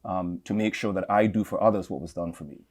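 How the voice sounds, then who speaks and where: A middle-aged man with a Trinidadian accent, speaking as an interview guest in a measured, reflective way, often repeating words as he gathers his thoughts. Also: low voice, fairly even intonation, a little mellow. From Trinidad and Tobago. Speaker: campus leader, guest. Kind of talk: interview conversation.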